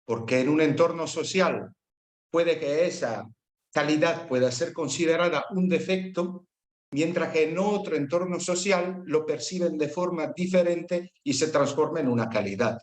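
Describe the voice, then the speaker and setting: A man's voice: a little high, moderate pace, reverberant. A man who is not a native speaker of the language, talking as a panel guest and choosing his words carefully. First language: not Spanish. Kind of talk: casual panel discussion.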